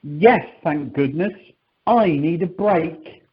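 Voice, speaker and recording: Exasperated check-in man played by a woman, polite, unhurried, animated, radio comedy over dull phone line. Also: low voice. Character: exasperated, polite male airline check-in clerk. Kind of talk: amateur radio comedy acting. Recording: dull telephone conference line.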